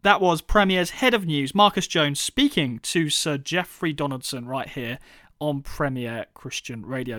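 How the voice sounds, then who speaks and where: A man's voice: a little high, fairly bright tone, no echo. A man hosting a radio interview show, with a polished, upbeat presenter delivery in a studio. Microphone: studio mic.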